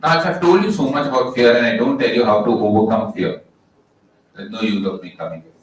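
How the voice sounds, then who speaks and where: A young man with an Indian English accent and a loud voice, telling an audience a story full of jokes and putting questions to them. The mic is some distance away, so his voice rings around a very echoey hall with a faint murmur behind it. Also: lowish voice, a little fast, slight background noise. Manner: jokey, asks the crowd questions. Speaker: motivational speaker. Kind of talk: storytelling talk to a live audience.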